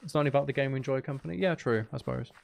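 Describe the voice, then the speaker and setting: A man's voice: medium pitch, rapid-fire, vocal fry. A man streaming a video game, chatting casually in a rambling, hesitant way as he thinks aloud. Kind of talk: casual live-stream chat while playing.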